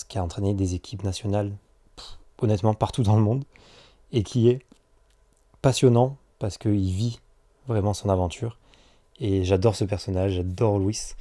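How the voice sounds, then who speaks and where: Male podcast host, low, warm voice, friendly, talks straight to his listeners. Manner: friendly, direct address to listeners. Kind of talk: podcast interview.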